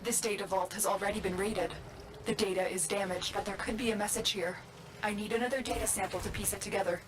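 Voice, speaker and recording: An actress playing a grave, anxious guide who commands warrior operatives in a video game, speaking in a solemn, measured way. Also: female voice, medium pitch, steady tone, noisy background, lightly acted. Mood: grave, urgent. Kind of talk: scripted video game voice acting.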